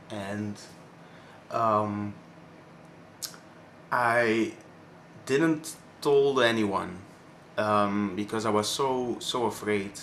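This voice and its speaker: A man of twenty-one from Belgium with a Flemish accent, his first language Dutch, speaking haltingly with many pauses in a personal vlog. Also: lowish voice, fairly bright tone, slight background noise. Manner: hesitant, many ums and pauses. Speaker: vlogger.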